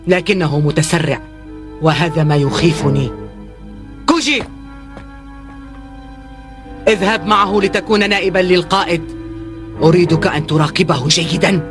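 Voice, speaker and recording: A woman's voice: lowish voice, normal speed, long pauses, close to an everyday voice. A woman playing a commanding, calculating female fleet commander in a dubbed cartoon, speaking formally and with authority. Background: dramatic music.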